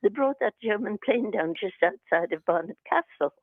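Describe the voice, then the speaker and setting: A woman in her eighties with a croaky voice, calling a radio show to tell a childhood memory. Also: medium pitch, lively intonation, moderate pace, dry recording. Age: 80s. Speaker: caller. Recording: phone line.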